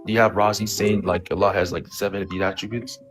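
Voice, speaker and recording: Male debater with a low voice, informal and halting, lots of filler words, music in the background. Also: level tone. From Somali background. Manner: halting, heavy use of "like" and "you know". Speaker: debate participant. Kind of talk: casual live debate.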